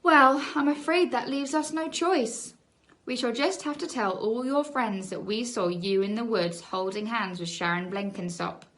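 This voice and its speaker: A woman's voice: fairly high pitch, measured pace, a bit bright. Woman playing a sweetly taunting young girl. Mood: smugly teasing. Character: cheeky, sweetly teasing young girl, firm and smug.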